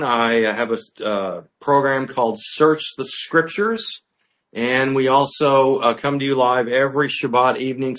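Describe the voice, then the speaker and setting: A man's voice: medium pitch, a bit bright. A man, a pastor teacher, giving an earnest, emphatic livestream teaching with frequent "uh" fillers. He speaks through a built-in mic with no external microphone, in an indoor room with natural acoustics. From United States. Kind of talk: sermon-style livestream teaching.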